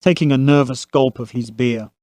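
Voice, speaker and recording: A man's voice: medium pitch, moderate pace, a little mellow, no room sound, clean studio sound. A man narrating a family saga as a scripted audio drama storyteller.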